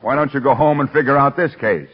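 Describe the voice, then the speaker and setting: A man's voice: medium pitch, fast, husky, natural voice. Blustery American male police chief, overworked and exasperated, played by an actor, middle register, vintage radio drama with muffled sound. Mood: frustrated. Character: harried, exasperated, gruff police commissioner. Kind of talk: 1930s radio drama acting. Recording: dull 1930s radio recording.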